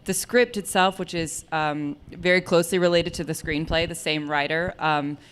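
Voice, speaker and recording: A stage actress from Los Angeles answering audience questions, thoughtful and hedging with fillers. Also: female voice, low voice, normal speed, a bit bright, clear, resonant tone. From Los Angeles, California. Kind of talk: casual talkback answer.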